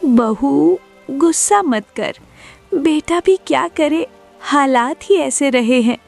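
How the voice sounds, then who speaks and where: An elderly mother-in-law played by a woman speaks slowly in a creaky voice. She is patient, devout and consoling, in cartoon moral-story style, with faint music behind her. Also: high voice, animated, a little mellow, exaggerated voice. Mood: gentle, consoling. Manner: consoling, placating.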